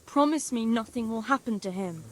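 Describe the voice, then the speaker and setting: A woman's voice: fairly high pitch, expressive, moderate pace, a little hiss or hum, close to an everyday voice. An actress playing a wary, protective working woman in a video game, speaking in a guarded, earnest way. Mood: guarded worry. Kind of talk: video game cutscene acting. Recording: faint background ambience.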